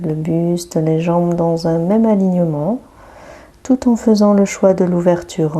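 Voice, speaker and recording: A woman guiding a yoga nidra meditation with a soft, breathy voice, giving calm, gentle instructions with long pauses. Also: lowish voice. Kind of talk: guided relaxation meditation.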